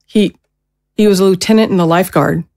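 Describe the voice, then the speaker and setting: A woman playing a gentle, protective middle-aged mother in an audio drama, speaking in a soothing, careful way. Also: fairly low pitch, steady tone, brisk, natural voice. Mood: regretful.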